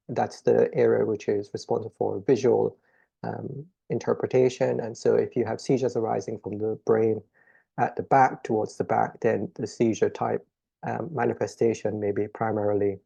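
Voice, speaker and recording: A middle-aged man with a Jamaican accent lectures a conference audience, explaining step by step and pausing often. His voice is deeply gravelly and dark. There is a husky edge to it. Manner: measured, explanatory, frequent "um" pauses. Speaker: paediatric neurologist giving a conference talk.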